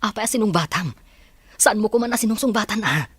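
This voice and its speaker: Guy doing a nervous young husband, bright, a bit husky, pitch jumping around. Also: a little fast, dry recording. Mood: defensive. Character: young husband, son of a rich family, anxious. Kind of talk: Ilocano audio drama acting.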